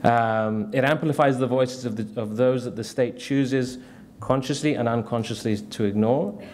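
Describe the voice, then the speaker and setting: A man's voice: mid-range voice, moderate pace, a little mellow. A man from Cyprus delivering a conference speech in a casual way, with many fillers. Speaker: conference speaker, community media director. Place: conference hall.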